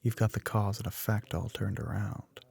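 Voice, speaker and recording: A man playing a smug, teasing, telepathic young male classmate in an ASMR roleplay, with a husky, breathy, often whispered voice. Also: fairly low pitch, fairly even intonation, darkish tone, full character voice. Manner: smug, teasing, drawn-out knowing remarks.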